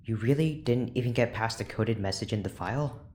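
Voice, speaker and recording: An actor playing a weary, irritable scientist in charge, curt and sarcastic, in an audio drama. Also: male voice, medium pitch, brisk, darkish tone, a little hiss or hum, close to an everyday voice. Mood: irritated, commanding. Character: weary, irritable scientist boss running secret experiments.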